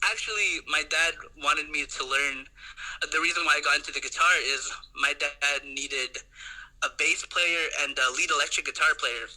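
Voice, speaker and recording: A man's voice: fairly high pitch, normal speed, sharp tone, low-quality recording. A young man from the Philippines, a podcast guest, chatting enthusiastically and rambling with many fillers and restarts. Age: young adult. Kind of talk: casual chat.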